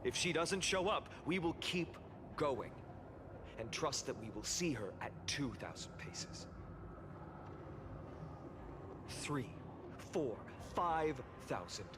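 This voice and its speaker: An actor playing an earnest young male leader in a video game, speaking in measured, resolute speeches. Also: a little high, sing-song, background noise. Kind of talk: video game story voice acting. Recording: music behind the voice. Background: game music.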